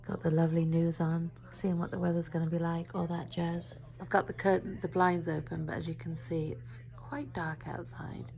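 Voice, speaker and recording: Raspy, tired middle-aged woman. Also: fairly low pitch, flat delivery, moderate pace, hardly any pauses, a little mellow. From United Kingdom. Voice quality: raspy, gravelly. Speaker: vlogger. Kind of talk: casual daily vlog chat to camera.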